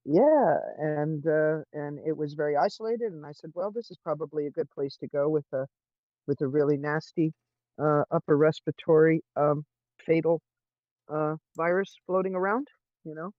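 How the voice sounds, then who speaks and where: An American man talks casually with a somewhat high voice. His delivery is halting and hesitant, with frequent small stumbles. The audio is dull and lo-fi, with very little room sound. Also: no echo. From California, United States. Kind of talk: casual chat.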